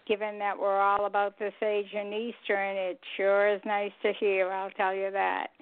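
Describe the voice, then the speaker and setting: A woman's voice: medium pitch, monotone, moderate pace, smooth. An older woman chatting casually on air as a participant in a radio show. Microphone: telephone call-in. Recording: narrow tinny phone-line sound.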